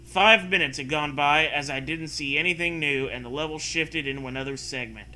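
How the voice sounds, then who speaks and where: A man's voice: fairly high pitch, brisk, sharp tone, low-quality recording. A man reading a story aloud and breaking in with sarcastic, sweary casual commentary. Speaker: host reading and mocking fan fiction.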